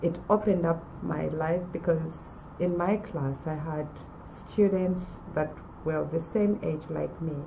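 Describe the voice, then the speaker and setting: A woman in her forties from Uganda, telling her own story in a measured way with pauses. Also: deep voice, creaky, warm tone, slight background noise, rough recording. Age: 40s. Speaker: former college student. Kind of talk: personal testimonial to camera.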